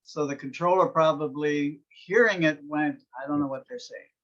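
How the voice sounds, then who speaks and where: A man hosting an interview, setting up the topic clearly and explaining points. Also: a little high, moderate pace, fairly bright tone, lo-fi sound. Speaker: interview host. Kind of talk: interview on aviation.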